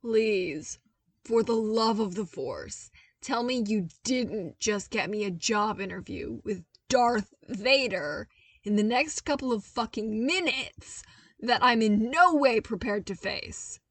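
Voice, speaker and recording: A woman's voice: a little high, takes it slowly, no room sound. A woman voicing an anxious, flustered young man with a hesitant, pleading manner in a fan fiction audiobook. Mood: panicked, pleading.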